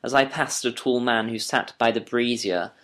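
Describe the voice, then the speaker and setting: A man narrating a first-person audiobook story with measured, literary, deliberate phrasing. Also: mid-range voice, fairly even intonation, fairly bright tone. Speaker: audiobook narrator reading as Doctor Watson.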